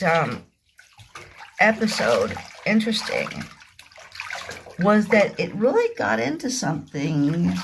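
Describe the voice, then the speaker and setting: Woman, lowish pitch, very slow pace, halting, repetitive, emphatic, rambling commentary, faint background noise. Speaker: vlogger. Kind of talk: rambling informal monologue retelling and commenting on a radio drama.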